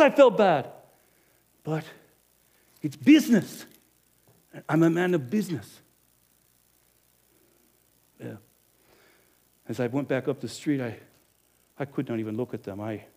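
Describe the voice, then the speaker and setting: A man acting out a middle-aged innkeeper in a dramatic first-person monologue, emphatic and animated, swinging between loud and hushed. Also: fairly high pitch, full character voice. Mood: guilty. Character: middle-aged male innkeeper, money-minded and guilt-ridden. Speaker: actor.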